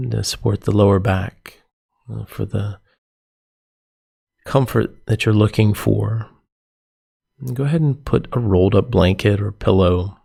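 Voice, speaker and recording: A man leading a guided meditation as a yoga nidra teacher, giving gentle, soothing, unhurried instructions with pauses between phrases. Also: on the low side, gravelly, breathy edge.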